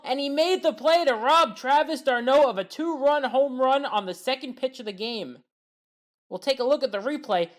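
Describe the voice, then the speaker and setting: A woman narrates baseball highlights over footage in a steady play-by-play read. Her pitch sits in the middle of the range. She roots openly for the home team and drops dry side comments between the plays. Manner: partisan home-team fan with wry asides.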